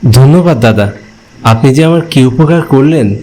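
A man playing a hungry, stranded village traveler, telling his ghost story in expressive, polite, conversational first-person narration and dialogue. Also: mid-range voice, normal speed, lightly acted. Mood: polite, insistent.

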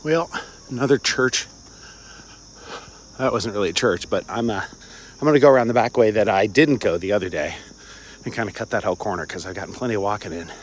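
An older man talks as he walks outdoors, holding his phone out at arm's length and rambling along with plenty of fillers and little chuckles. His voice sits a bit low. Faint crickets and insects hum behind him. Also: fairly quick, pauses often. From Cincinnati, Ohio. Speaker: vlogger. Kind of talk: casual walk-and-talk vlog. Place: outdoors on a suburban campus walk. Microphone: phone held at arm's length, wireless earbuds.

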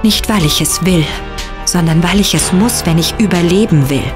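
An actress playing a grim young monster hunter, narrating an audio drama in a solemn, dramatic, resolute way. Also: female voice, on the low side, normal speed, natural voice. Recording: loud guitar music behind voice.

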